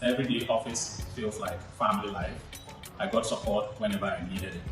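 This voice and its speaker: Low man in a very echoey room. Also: fairly quick, music in the background. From Ghana.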